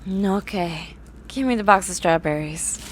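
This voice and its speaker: A woman's voice: lowish voice, measured pace, slight background noise, lightly acted. A woman voicing a grumpy, obsessive young horse-girl scientist in an ASMR roleplay, talking in a precise, scholarly, bossy way. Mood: bossy, impatient.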